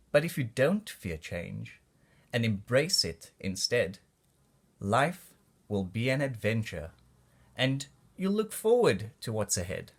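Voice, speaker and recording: A man reading a children's picture book aloud with expressive, deliberate phrasing. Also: medium pitch. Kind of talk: storybook read-aloud for kids.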